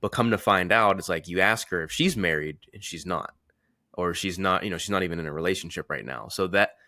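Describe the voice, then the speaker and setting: A man with a very deep yet bright voice talks like a podcast host in casual conversation. His wording is informal and slangy, and the recording is dry and close. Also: a little fast, no room sound. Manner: informal, slangy, occasional swearing. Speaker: podcast host and men's confidence coach.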